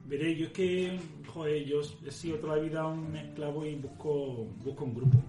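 A man playing a plain-spoken, friendly fantasy adventurer who talks in a halting, colloquial way. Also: on the high side, fairly even intonation, close to an everyday voice. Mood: earnest. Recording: roomy echo, faint music.

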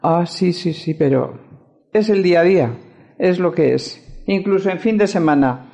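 A woman's voice: on the low side, normal speed, mellow, lightly acted. A woman with a Spanish accent playing a firm, defensive lawyer in an amateur radio soap opera. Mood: casual, weary. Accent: Spain.

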